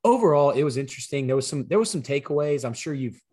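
A man co-hosting a sports podcast, his voice slightly hoarse from losing it, explaining things in an easygoing, conversational way. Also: fairly high pitch, lively intonation, fast talker, a little mellow. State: partly lost voice, slightly hoarse. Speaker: podcast co-host. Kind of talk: casual sports podcast chat.

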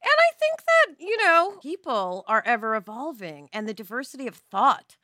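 Bright, high-voiced woman podcast co-host. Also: very animated, normal speed, no echo, studio quality. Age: middle-aged. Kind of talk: casual podcast discussion.

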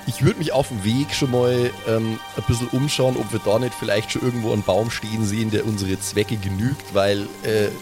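A man's voice: on the low side, fairly even intonation, a little fast, hardly any pauses. A man improvising in-character banter as a player on a tabletop roleplay podcast. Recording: clear music playing behind the voice. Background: music.

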